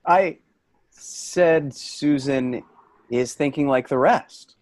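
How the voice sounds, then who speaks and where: A man's voice: mid-range voice, drawn-out words, darkish tone. A man in a staged play reading, playing a sarcastic, exasperated office worker. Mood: dry, sarcastic.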